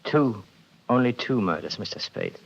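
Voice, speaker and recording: An actor playing a fussy, polite crook in a 1940s radio drama, speaking precisely and formally with sly caution. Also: male voice, on the low side, lively intonation, moderate pace, vocal fry. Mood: pedantic, sly. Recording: muffled 1940s radio broadcast.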